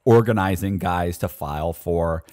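Low-voiced man hosting a chat. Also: darkish tone. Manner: quick interjecting, recapping the story. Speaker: podcast host. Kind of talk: casual interview chat. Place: small studio with foam panels. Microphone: boom mic on table.

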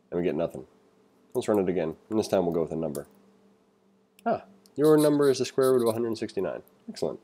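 Low, mellow-voiced man reading a tutorial. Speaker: programming tutor. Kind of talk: read-along tutorial with casual asides. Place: voice-over for a screen recording.

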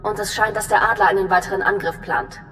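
A serious, composed female intelligence officer acted by a woman delivers a sci-fi game briefing. Her delivery is crisp and official at a middle pitch, in a long reverb with music behind. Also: fairly even intonation, fast talker, fairly bright tone, lightly acted.

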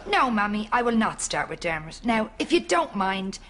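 A woman's voice: medium pitch, sing-song, moderate pace, lightly acted. A woman playing an exasperated grown-up Irish daughter in a sitcom, bossy, defensive and insistent. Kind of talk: studio sitcom acting. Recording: noisy sitcom studio background.

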